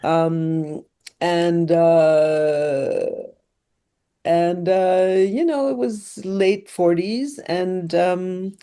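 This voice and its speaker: Halting French-born woman in her sixties. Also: fairly low pitch, dark tone. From France. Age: 60s. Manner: halting, many fillers and restarts. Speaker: documentary filmmaker guest. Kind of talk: casual interview conversation.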